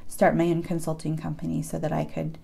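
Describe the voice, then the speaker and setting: A woman's voice: low voice, monotone, a little fast, gravelly, warm tone, husky. A woman in her thirties talking candidly and personally in a casual Q&A vlog, with hesitant fillers. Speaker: beauty vlogger.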